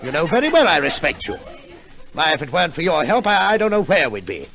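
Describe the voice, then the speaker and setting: A man's voice: on the high side, sing-song, quick pace, fairly bright tone. An Australian actor playing an exasperated, sardonic father in an old-time radio comedy, blustery and mock-polite. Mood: teasing, placating. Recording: noisy old radio recording.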